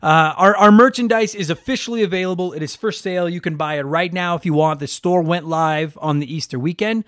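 A man's voice: high voice, a little fast, fairly bright tone, dry recording, studio quality. A man hosting a retro gaming podcast, talking casually with a stuffed-up nose, rambling and swearing with self-deprecating jokes. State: stuffed up from allergies. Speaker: podcast host.

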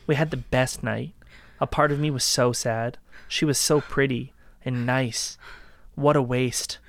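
A man acting a cold, gloating killer who calmly taunts his ex in a dark audio drama. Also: medium pitch, creaky, no echo. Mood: gloating, casual. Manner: casual, calmly taunting, unhurried menace.

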